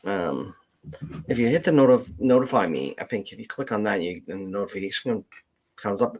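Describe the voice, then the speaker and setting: A man hosting a casual, unscripted live stream, speaking in a halting, hesitant way. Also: on the low side, animated, a little mellow, poor audio. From Canada. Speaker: genealogist live stream host.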